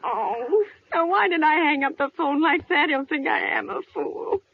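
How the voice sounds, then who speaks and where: An actress playing a nervous, demanding bedridden wife in a 1940s suspense radio play, agitated and querulous, on a crackly vintage recording. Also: female voice, high-pitched, lively intonation, normal speed, muffled recording. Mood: tearful, despairing. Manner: agitated, fretful, querulous, indignant.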